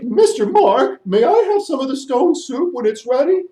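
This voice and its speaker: A man voicing a big, eager elephant with loud, drawn-out delivery in a children's puppet story. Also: very high voice, sing-song, voice pushed up.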